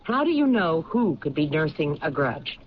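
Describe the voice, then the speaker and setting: An actress playing a sharp, persistent police lieutenant in a 1970s radio drama, questioning in a matter-of-fact way. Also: female voice, low-pitched, very animated, moderate pace, a little hiss or hum. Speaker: detective lieutenant. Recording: dull lo-fi 1970s radio recording.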